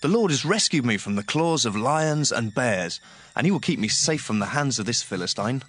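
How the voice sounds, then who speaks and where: A man's voice: mid-range voice, lively intonation, moderate pace, a bit bright, slight background noise, lightly acted. An actor playing a bold, earnest young shepherd boy, speaking eagerly and emphatically in a dramatized audiobook.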